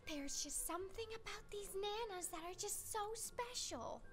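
A young woman with a high voice performs in a comedy sketch. Her delivery is playful, and she complains dramatically for laughs. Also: expressive, normal speed, over music. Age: young adult.

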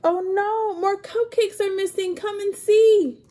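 A woman's voice: extremely high pitch, steady tone, unhurried, warm tone, a little hiss or hum, pitched above the performer's own voice. A woman voicing an earnest storybook cat detective, a fair friend, in simple, emphatic phrases. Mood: alarmed.

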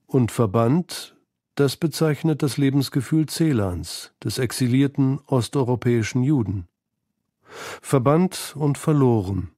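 Mellow male documentary narrator, dry sound. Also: medium pitch, animated, a little slow, no echo. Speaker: feature narrator. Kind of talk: scripted literary documentary narration.

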